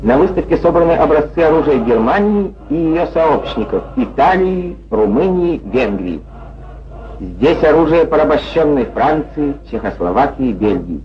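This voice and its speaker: A man's voice: fairly high pitch, big pitch swings, moderate pace, highs cut off. A man narrating a scripted 1940s Soviet newsreel in a declamatory, wry manner, on an old film soundtrack. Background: music.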